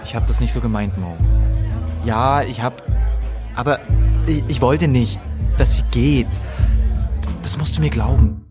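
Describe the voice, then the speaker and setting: An actor playing a sullen, defensive young boyfriend in a radio drama, speaking haltingly. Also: male voice, mid-range voice, natural voice. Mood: regretful. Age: young adult. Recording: lively crowd and music behind.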